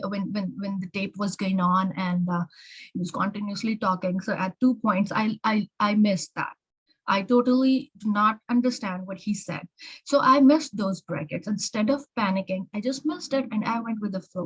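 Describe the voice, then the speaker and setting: A woman's voice: medium pitch, husky, muffled recording. A woman with an American-influenced accent, an exam prep instructor giving informal tips in a chatty way, often repeating words and restarting. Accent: learned American English.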